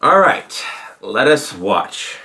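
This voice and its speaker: Slow-paced man giving casual reaction commentary. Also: on the low side, very animated, vocal fry, bright, forceful tone. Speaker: reaction video host.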